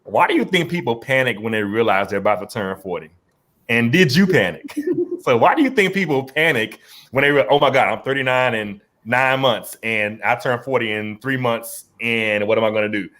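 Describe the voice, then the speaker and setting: A man's voice: medium pitch, animated, normal speed, a bit bright. A man in his forties hosting a casual conversation, laid-back and slangy, often repeating words as he talks. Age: 40s. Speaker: podcast host.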